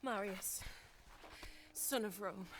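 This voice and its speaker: A woman's voice: medium pitch, slow, noisy background, close to an everyday voice. A woman playing a defeated warrior queen. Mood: resigned. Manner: quiet, resigned and defiant.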